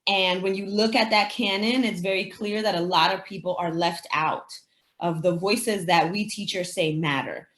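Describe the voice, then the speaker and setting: In a roomy, echoing recording, a woman educator from the Dominican Republic answers interview questions. Spanish is her first language. She speaks at a middle pitch and explains conversationally, thinking aloud and asking rhetorical questions. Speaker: teacher and anti-racism educator, interviewee.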